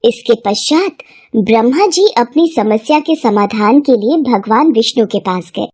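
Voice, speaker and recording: A woman's voice: fairly high pitch, lively intonation, measured pace, darkish tone, slightly rough. A woman narrating a scripted devotional story.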